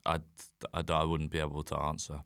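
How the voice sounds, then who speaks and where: A man's voice: extremely low pitch, completely flat delivery, brisk, gravelly, a bit bright, clear, resonant tone, no echo, clean studio sound. A young man, a comedian guesting on a podcast, chatting casually in rambling, self-mocking comic riffs.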